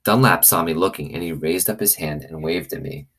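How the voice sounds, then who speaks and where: A man with a low voice reads a children's novel aloud in a storytelling style. He works as a teacher would, adding simple commentary. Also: brisk, a bit bright, a little hiss or hum. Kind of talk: expressive storybook reading with commentary.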